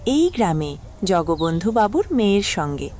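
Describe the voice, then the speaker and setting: A woman's voice: lowish voice, very animated, normal speed, a little mellow, slight background noise. An actress playing an easygoing middle-aged mother in an audio drama, coaxing and mild.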